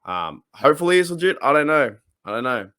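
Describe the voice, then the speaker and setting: A man's voice: on the high side, sing-song, sharp tone, smooth, no room sound, studio quality. A man with an Australian accent hosting a casual sports podcast, talking in a rambling, hesitant way. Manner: rambling, hesitant, halting delivery. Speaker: podcast host.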